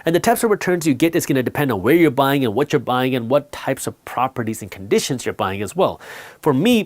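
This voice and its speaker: A man whose first language is Punjabi, explaining money matters to camera in a blunt, direct, emphatic way. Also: fairly high pitch. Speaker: finance educator and host.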